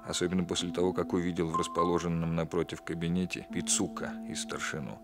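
An actor in a 1960s radio play, playing a shrewd police captain with a measured, precise, ironically polite manner. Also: male voice, deep voice, flat delivery, over music. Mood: narrating, intent. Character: shrewd, composed male police captain interrogating a suspect. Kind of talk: 1960s Soviet radio play acting, alternating narration and dialogue.

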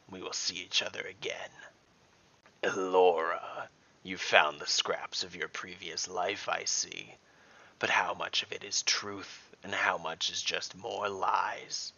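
A man voicing a sinister, taunting dragon with a hissing, breathy whisper, speaking slowly and deliberately in an audio story. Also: deep voice, pushed and bright, dull audio, exaggerated voice. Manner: slow, deliberate. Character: sinister taunting male dragon, menacing and mocking.

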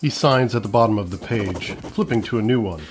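A man's voice: on the low side, fairly quick, vocal fry. A man reading scripted narration as the narrator of a comedy podcast.

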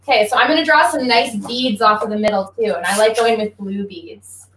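A young woman giving a relaxed, chatty drawing lesson, explaining each step while she draws at home, speaking away from a laptop mic. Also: mid-range voice, a little fast, roomy sound, a little hiss or hum, low-quality recording. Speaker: guest athlete teaching drawing. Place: small home room. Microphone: laptop mic from across the room, facing away.

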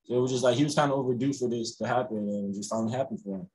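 A young man, a college basketball player, answering reporters on a video call after a game, relaxed and full of "you know" fillers. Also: mid-range voice, very fast, slightly rough, low-quality recording. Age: young adult. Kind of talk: postgame press conference answers. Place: indoors in front of a logo backdrop.

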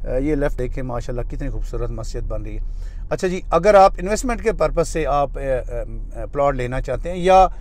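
A man with a Pakistani Urdu accent, a real estate agent who narrates a casual tour from inside a moving car, pitching plots with many fillers. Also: lively intonation, brisk, a little mellow.

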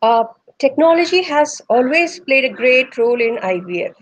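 A woman's voice: high-pitched, animated, poor audio. Indian woman answering on a video call. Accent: Indian English. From Northeast India. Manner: measured, with frequent "uh" pauses. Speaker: embryologist guest. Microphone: video call webcam.

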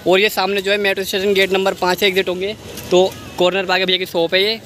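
A man's voice: high voice, level tone, fast, slight background noise. A young man in his twenties vlogging as he walks a busy outdoor street market, chatting to viewers and repeating prices, filmed on a handheld phone. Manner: chatty, addresses viewers as guys and brother, asks prices and repeats them. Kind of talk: market walk-through vlog. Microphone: handheld phone at arm's length. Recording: loud street crowd behind.

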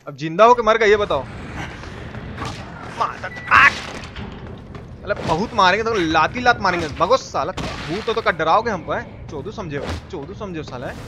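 A man giving excitable, repetitive, slangy live game commentary as a streamer. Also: high-pitched, moderate pace, long pauses. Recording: clear background noise.

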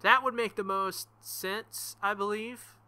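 Young man, let's play host, visual novel reading, very bright voice, fairly high. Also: slow, clear, resonant tone. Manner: animated, laughs and thinks aloud.

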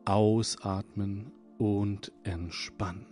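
A man leading a guided hypnosis session, speaking in a calm, soothing, repetitive manner. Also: on the low side. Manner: slow, soothing, hypnotic, repeats phrases. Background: soft background music.

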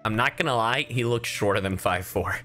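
A man's voice: on the low side, fairly quick, sharp tone, faint music behind. A young man livestreaming with casual game commentary, chatty, joking and profane.

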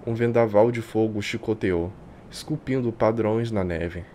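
A man with a Brazilian accent reading a visual novel aloud like a streamer, expressive and colloquial. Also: on the low side, measured pace, slight background noise. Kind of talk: dramatic live reading with casual asides. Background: game music.

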